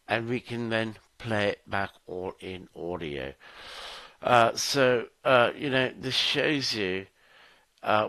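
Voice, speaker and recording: Slow-paced man presenting a demo. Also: on the low side, a bit bright, no echo. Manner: halting, explanatory, in short phrases. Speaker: solution architect presenter. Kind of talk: software demo walkthrough.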